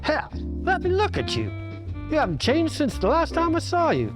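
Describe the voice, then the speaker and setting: A man playing a slangy, animated war-veteran piano player, an old pal in a 1940s-style detective parody. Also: very high-pitched, moderate pace, exaggerated voice. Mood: hearty.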